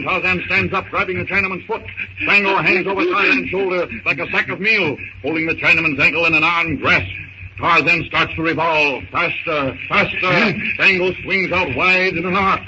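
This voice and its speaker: A male narrator tells an adventure serial in dramatic vintage radio style. His voice sits slightly high, with emphatic, suspenseful, clipped phrasing. The recording is muffled with clear background noise.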